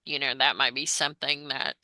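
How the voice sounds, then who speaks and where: A woman interviewer putting questions in a measured, slightly hesitant way. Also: very deep voice, little pitch movement, pushed and bright, no echo.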